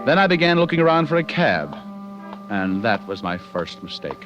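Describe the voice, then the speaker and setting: An actor playing a slick, wisecracking private detective in 1940s radio style, sardonic and flirtatious. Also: male voice, fairly low pitch, very animated, normal speed, dull audio. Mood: wry narration. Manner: sardonic, flirtatious banter and first-person narration. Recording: 1940s radio broadcast with music.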